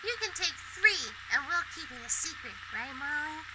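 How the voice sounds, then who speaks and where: A woman voicing an excitable, sweet little girl in a radio drama. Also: high-pitched, moderate pace. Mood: playful. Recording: very noisy, hissy recording.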